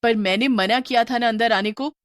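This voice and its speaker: A woman's voice: on the high side, moderate pace, a bit bright, no echo, clean studio sound, lightly acted. A woman voicing a sorrowful long-armed female witch ghost who sells street snacks, in a plaintive tone.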